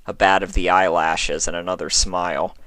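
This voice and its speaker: A man's voice: low voice, flat delivery, normal speed, fairly bright tone, dry recording. A man reading a story aloud as its narrator, in scripted storytelling delivery. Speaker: fan fiction reader, narrator.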